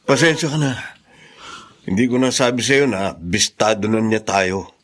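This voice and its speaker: An actor with a Filipino accent playing an unfaithful, hot-tempered husband in a radio drama. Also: male voice, lowish voice, fairly even intonation, measured pace. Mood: tender, concerned.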